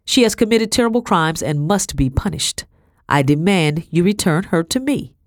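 A woman's voice: fairly low pitch, animated, normal speed, no room sound, clean studio sound, pitched above the performer's own voice, close to an everyday voice. A woman voicing a haughty colonial mistress, cold and indignant, imperious and clipped in a storybook reading. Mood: indignant, demanding.

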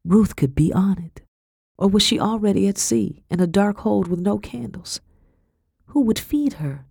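A woman's voice: medium pitch, animated, measured pace, gravelly, warm tone, breathy edge, dry recording, studio quality, voice pushed up, exaggerated voice. A woman voicing a frightened enslaved girl who pleads desperately for help in a storybook reading. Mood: anguished worry.

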